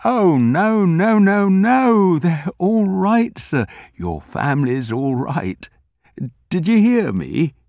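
A man's voice: high voice, sing-song, slow pace, darkish tone, no echo, muffled recording, voice pushed up, full character voice. A man voicing an anxious, kindly old male corner grocer who fusses with old-fashioned politeness. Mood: fretful.

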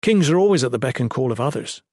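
A man voicing a steady, dutiful male protector in an audiobook, speaking with restrained, formal courtesy. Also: medium pitch, fast, dry recording, studio quality, lightly acted. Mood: earnest.

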